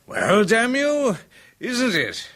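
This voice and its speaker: An actor playing a tormented man in a 1970s radio drama, talking haltingly with bitter nervous laughs. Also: extremely high pitch, wildly swooping pitch, a bit bright, exaggerated voice. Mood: bitter, mocking. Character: tormented middle-aged male musician, haunted and bitter.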